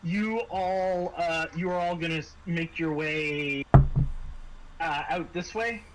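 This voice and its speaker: A man acting as game master, narrating and explaining rules casually in short, halting phrases. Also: a little high. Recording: faint background noise.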